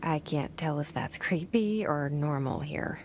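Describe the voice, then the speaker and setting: An actress playing a steady, guarded young woman agent with terse, level speech and muttered asides. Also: low voice, unhurried. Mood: wry. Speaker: lead character searching for her brother. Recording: echoey game cutscene with faint hiss.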